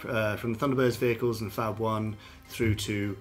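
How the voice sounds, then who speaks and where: A man's voice: lowish voice, fairly even intonation, normal speed, faint music behind. A man co-hosting a podcast in casual chat, joking and reading out listener emails. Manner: jokey, reads listener emails aloud. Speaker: podcast co-host.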